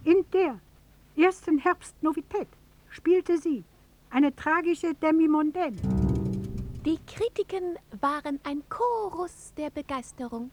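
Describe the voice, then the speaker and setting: An actress in a radio drama playing a dazzled, electrified storyteller, theatrical, with drawn-out phrases. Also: female voice, high-pitched, expressive, a little mellow. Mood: excited, heightened. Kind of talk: stylised radio drama narration. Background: music.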